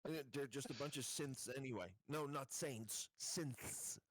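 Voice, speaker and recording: A man's voice: medium pitch, measured pace, a bit bright, no echo. A man chatting casually as a player in a tabletop roleplaying game. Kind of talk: casual chat.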